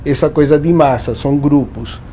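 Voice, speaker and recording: A professor from Uruguay, a man with a Uruguayan Spanish accent, answers interview questions at length in a considered, explanatory manner. His voice is mellow and warm. A faint rumble sits under the recording. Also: moderate pace. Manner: thoughtful, explanatory, with frequent restarts and tag questions. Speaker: branding professor and guest.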